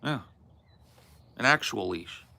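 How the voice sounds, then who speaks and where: A man co-hosting a podcast, telling stories in a rambling, laid-back way with a loud, resonant voice. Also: mid-range voice, lively intonation, slow pace, gravelly, dry recording. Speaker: podcast co-host. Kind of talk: casual chat.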